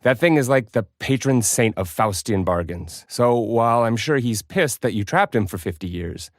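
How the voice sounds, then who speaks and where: An actor playing a wry, anxious occult ward expert in a game's visual novel, talking in a dry, glib, measured way. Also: medium pitch, expressive, no room sound, close to an everyday voice. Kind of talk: dramatic voice acting for a game visual novel.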